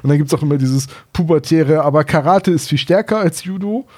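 A man's voice: on the high side, fairly quick, dark tone. A man in his thirties from Germany chatting casually and enthusiastically as a podcast co-host, with a teasing streak. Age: 30s.

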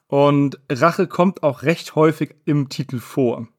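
Ein Mann, der als Quizleiter in einem lockeren Podcast Begriffe vorliest und erklärt. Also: male voice, a little high, slow, darkish tone. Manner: reads definitions aloud, explains. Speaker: quiz host. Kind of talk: casual podcast quiz.

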